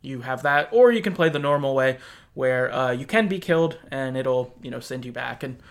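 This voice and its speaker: A man's voice: mid-range voice, expressive, moderate pace. A man hosting a casual podcast discussion of video games, explaining things in a hesitant, self-deprecating way. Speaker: podcast host.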